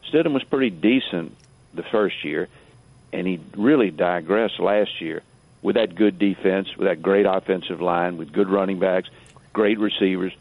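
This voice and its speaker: A man's voice: sits low, moderate pace, vocal fry, no room sound. A man giving blunt, emphatic sports commentary as a radio guest over a tinny phone-like line.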